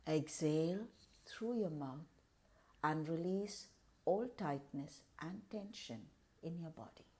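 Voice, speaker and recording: A woman leading a guided meditation in a calm, measured, deliberate way, pausing between short phrases. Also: low-pitched.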